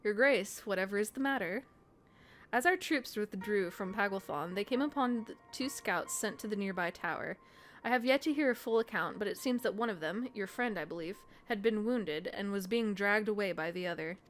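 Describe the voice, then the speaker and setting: Casting: woman playing an earnest courtly fantasy game adviser, formal and measured, mid-pitched, music beneath. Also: close to an everyday voice. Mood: urgent, formal.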